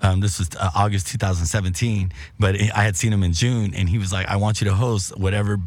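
Low, slightly raspy middle-aged man. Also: steady tone, fast talker, no echo. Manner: laid-back, rambling with many restarts and slang. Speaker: guest, former radio host. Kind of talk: casual chat.

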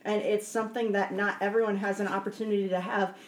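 A woman's voice: mid-range voice, flat delivery, quick pace, reverberant. A woman playing a tabletop roleplaying game, speaking firmly and insistently, partly in character as her bard. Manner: firm, insistent. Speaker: player.

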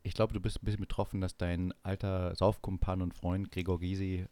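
A man's voice: deep voice, level tone, brisk, mellow, no echo. A man co-hosting a casual banter podcast, teasing and playfully mock-enthusiastic. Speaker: podcast co-host.

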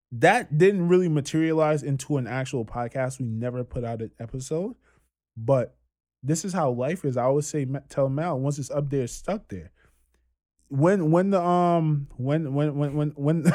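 Twenties male host, casual podcast storyteller, animated and loose. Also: on the high side. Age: 20s.

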